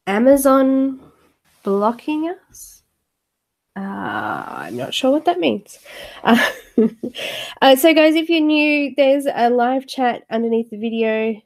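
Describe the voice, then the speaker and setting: A woman's voice: a little high, sing-song, slow pace. Chatty woman with a slightly nasal cold. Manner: chatty, friendly, lots of fillers. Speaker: YouTube coloring channel host. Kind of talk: live-stream coloring chat.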